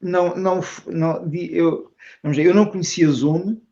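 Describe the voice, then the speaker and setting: An older man with a European Portuguese accent answers interview questions reflectively as a teacher, in measured, carefully reworded phrases. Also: high voice, fairly even intonation, warm tone, lo-fi sound. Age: older, retired. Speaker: Tai Chi and Qigong teacher, interview guest.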